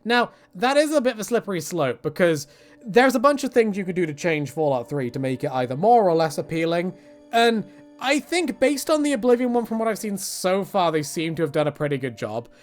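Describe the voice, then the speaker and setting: A man's voice: sits high, big pitch swings, fairly quick, faint music behind. A man giving casual, joking commentary on video games in a rambling, self-deprecating, tongue-in-cheek way. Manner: swears casually.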